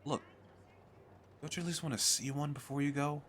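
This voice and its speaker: A man playing an eager, chatty fantasy merchant in an audio roleplay, talking the listener through a sales pitch in a hesitant, rambling, persuasive way. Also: fairly even intonation, moderate pace, a bit bright, a little hiss or hum, lightly acted. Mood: coaxing. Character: eager, chatty male fantasy merchant selling magical crystal castles.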